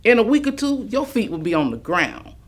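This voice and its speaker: A woman's voice: medium pitch, very animated, brisk. A woman playing an elderly, plainspoken grandmother in a staged reading, reflecting on her life. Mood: animated, wry. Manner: storytelling, folksy. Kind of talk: dramatic staged reading of oral history.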